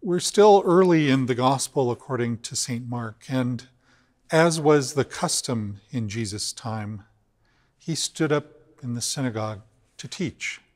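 An older priest man speaks slowly and earnestly, easing off the pace to stress key words. His middle-pitched voice carries a long church reverb from the big empty nave around him. The camera picks him up from mid distance. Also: darkish tone. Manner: measured, earnest, slows for emphasis. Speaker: cathedral rector. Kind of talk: weekly video reflection on scripture. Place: inside a large empty church.

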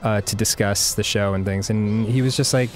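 A man's voice: lowish voice, steady tone, moderate pace, soft background music. Rambling man chatting over gameplay. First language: Hebrew. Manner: rambling, frequent fillers and laughs. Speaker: co-host. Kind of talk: casual gameplay chat.

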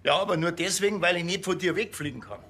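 Grumbling Bavarian cop, deadpan, slightly high voice, TV crime comedy. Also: male voice, quick pace, background noise. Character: dry, grumbling male small-town policeman.

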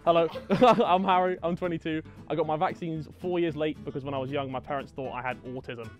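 A British man in his twenties giving short, deadpan introductions of himself. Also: a little high, fairly quick, faint music behind. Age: 20s.

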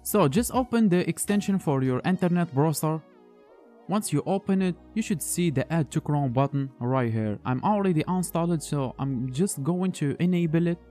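A man's voice: on the high side, animated, moderate pace, dark tone, faint music behind. A man narrating a computer screen tutorial as host, talking through each step in a plain instructional way. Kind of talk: screen-recorded tech tutorial voice-over.

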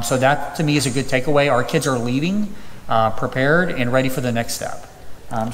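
A man's voice: medium pitch, slight background noise. A man in his thirties presenting at a school district public hearing from a podium, reading through items with frequent ums and uhs. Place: large empty auditorium. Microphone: podium microphone. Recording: echoey auditorium, distant reverb.

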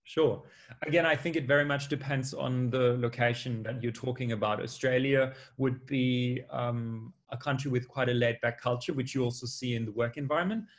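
A man in his thirties explaining things in a measured way as a panelist on a video call. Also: medium pitch, steady tone. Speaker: panelist, consultant.

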